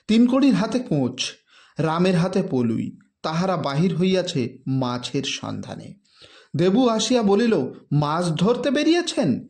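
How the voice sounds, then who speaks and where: A man narrating a literary story in an expressive, dramatic way with formal diction. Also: a little high.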